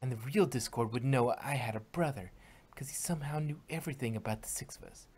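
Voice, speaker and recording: A man's voice: medium pitch, fast, a little mellow, breathy edge, lightly acted. A man reading the lines of a determined young female unicorn heroine, earnest and defiant. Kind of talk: fan reading of a story with voices.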